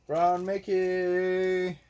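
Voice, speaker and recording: A man's voice: high voice, completely flat delivery, very slow, a little mellow, clean tone. A man casually hosting a playful show for children, chatting about toys in a goofy, theatrical way with silly exclamations. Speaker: children's show host and teacher. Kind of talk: casual playful chat for kids, showing toys.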